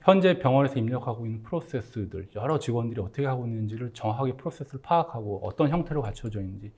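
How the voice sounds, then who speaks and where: A man's voice: medium pitch, animated, very fast, mellow. A man giving an explanatory business presentation as a company executive, speaking politely and conversationally with English tech terms mixed in.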